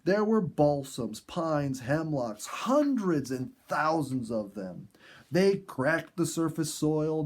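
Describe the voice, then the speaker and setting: A man narrating a story in an earnest, dramatic storybook style with emphatic pauses. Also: fairly high pitch, a little mellow. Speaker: audiobook narrator.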